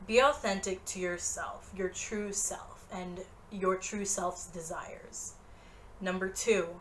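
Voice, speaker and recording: A woman's voice: medium pitch, a bit bright, a little hiss or hum. A woman in her twenties talking earnestly to the camera in a motivational vlog, direct and encouraging. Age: 20s. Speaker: vlogger.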